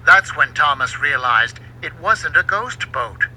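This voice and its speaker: A man reading a children's storybook aloud in an expressive, dramatic way. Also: on the high side, a little slow, rough recording. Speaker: book narrator. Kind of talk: scripted storybook narration for children. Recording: home recording with faint background noise.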